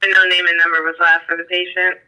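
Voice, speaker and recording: A man's voice: sits high, completely flat delivery, fast talker, pushed and bright, clean tone, low-quality recording. A man working as an emergency dispatcher, speaking procedurally over a police scanner radio and reading numbers out digit by digit.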